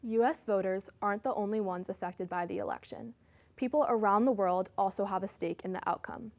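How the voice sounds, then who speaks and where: A woman reading scripted narration for a public media program in a clear, even manner. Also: mid-range voice.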